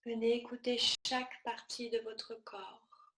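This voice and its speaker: A woman teaching yoga nidra, guiding a relaxation meditation in a soothing, measured manner with short, spaced-out phrases. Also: a little high, little pitch movement, reverberant.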